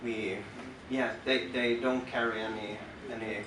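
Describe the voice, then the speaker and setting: Slow-spoken Norwegian man on a concert stage. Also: medium pitch, flat delivery, noisy background. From western Norway. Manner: halting and thoughtful, many pauses and restarts. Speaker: musician introducing his work on stage. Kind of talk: unscripted stage talk to a concert audience. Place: concert club venue. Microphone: stage microphone through the house speakers, recorded from the audience. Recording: roomy venue sound recorded from far off.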